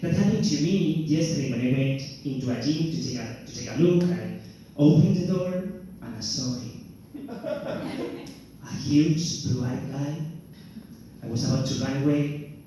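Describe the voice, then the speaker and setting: Young man, Spanish accent, stand-up comic, self-mocking and theatrical; stage mic caught far off in a booming room with faint noise. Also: animated, a little slow, dark tone, heavy reverb. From Spain. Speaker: stand-up comedian. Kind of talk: stand-up routine. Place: comedy club stage. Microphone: handheld stage mic.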